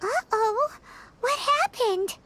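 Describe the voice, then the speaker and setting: A woman voicing a small, chirpy, curious fairy companion in a video game, with a squeaky childlike voice and an exclamatory manner. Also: lively intonation, slow, sharp tone, exaggerated voice. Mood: alarmed. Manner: refers to self in third person, exclamatory.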